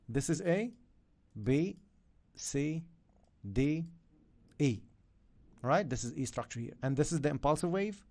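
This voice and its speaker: Man, native Arabic speaker, somewhat high voice, energetic, keeps asking "okay?", live-stream lesson. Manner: energetic, repeats "okay?" and "yeah?" to viewers. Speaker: trading coach.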